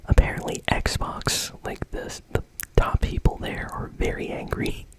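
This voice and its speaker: A host whispering gaming news in a casual, conversational ASMR style, with a breathy, unvoiced voice. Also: a little slow.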